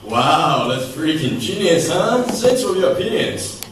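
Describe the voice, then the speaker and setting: A man's voice: fairly high pitch, very animated, a little slow. A young man playing a casual, jokey talk show host in a student radio drama, recorded at a distance in an echoey hall. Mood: breezy, amused. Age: young adult. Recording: echoey hall, distant mic, faint noise.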